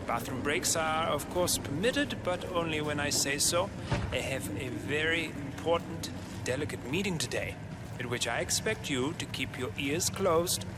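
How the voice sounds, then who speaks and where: A man acts out an eccentric game-cutscene boss with a fairly high male voice. He talks at length in a theatrical, self-important way, laying down demands. Heavy outdoor engine noise runs behind him. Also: moderate pace, close to an everyday voice. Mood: instructing, self-important. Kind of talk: video game cutscene acting.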